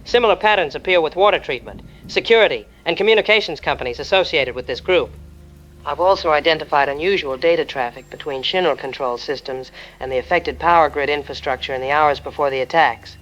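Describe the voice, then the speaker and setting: A man's voice: on the high side, brisk, a bit bright, close to an everyday voice. A man playing a serious, analytical investigative journalist giving precise findings in an audio drama. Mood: serious, concerned. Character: serious investigative journalist exposing corporate profiteering. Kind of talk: formal news testimony in audio drama. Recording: muffled lo-fi sound, noisy ambience.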